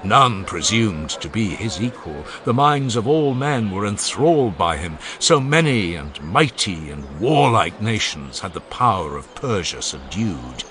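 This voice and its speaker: Lively low-voiced man declaiming an oration. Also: unhurried, hardly any pauses, a little hiss or hum. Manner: solemn, declaiming, oratorical phrasing. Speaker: audiobook narrator. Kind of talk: dramatized audiobook reading of a classical oration.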